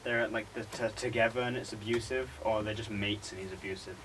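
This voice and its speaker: A young adult man, a classmate haltingly asking a casual question. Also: fairly low pitch, fairly even intonation. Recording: distant mic, noisy room.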